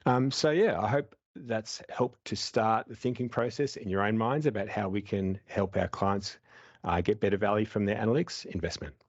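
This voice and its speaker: A man's voice: fairly low pitch, moderate pace, no echo. A man in his thirties giving a webinar talk over a headset from a home office, explaining steadily with frequent filler words. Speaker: webinar presenter. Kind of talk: presenting slides. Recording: dull dry video call audio.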